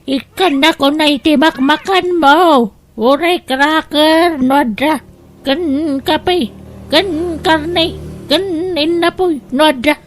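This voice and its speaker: A woman's voice: high voice, slow pace. A woman playing a hungry, pitiful elderly village woman in an audio drama, with a plaintive, pleading manner. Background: soft background music.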